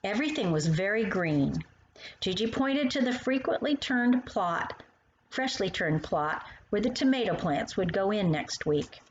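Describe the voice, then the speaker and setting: A woman's voice: mid-range voice, lively intonation, measured pace. A woman reading her own storybook aloud for young children, addressing the little listeners directly. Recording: dull lo-fi sound with faint noise.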